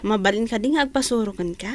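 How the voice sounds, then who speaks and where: A woman's voice: mid-range voice, fast talker, no echo, natural voice. A woman acting a caring, generous young student and loyal friend in a radio drama. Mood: friendly.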